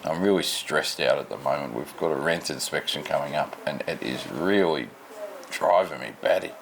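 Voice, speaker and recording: A man's voice: very deep voice, moderate pace, gravelly, noisy background. A middle-aged man with an Australian accent, tired and rambling casually to camera in an unscripted home vlog. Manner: rambling, laid-back, self-deprecating. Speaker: vlogger. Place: home at night.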